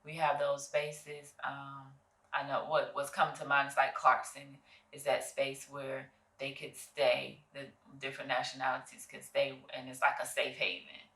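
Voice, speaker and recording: A woman's voice: a bass voice, completely flat delivery, moderate pace, pushed and bright, roomy sound, distant mic. A woman hosting an interview, curious and prompting with frequent affirmations. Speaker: podcast host. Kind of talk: casual interview.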